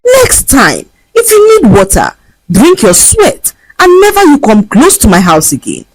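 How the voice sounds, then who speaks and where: A woman voicing a spoiled, arrogant rich young woman who turns desperate and shrill. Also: huge pitch swings, normal speed, no echo, poor audio. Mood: scornful. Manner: shrill, pleading cries.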